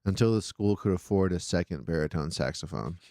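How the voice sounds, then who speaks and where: A man, a stand-up comic, talking in a rambling, halting, profane way. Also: sits low, lively intonation, warm tone, dry recording, studio quality. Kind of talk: casual chat.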